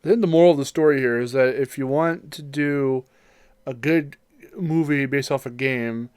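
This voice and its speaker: A man's voice: mid-range voice, normal speed, a little mellow, clear, resonant tone, no echo. A man co-hosting a podcast, chatting casually about video games in a playful, joking way. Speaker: podcast co-host.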